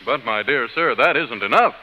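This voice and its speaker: Earnest, piercingly bright radio judge. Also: male voice, mid-range voice, expressive, moderate pace. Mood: stern, earnest. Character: stern courtroom judge turned earnest toothpaste pitchman. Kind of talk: 1930s radio advertisement, declamatory. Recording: reverberant 1930s radio broadcast.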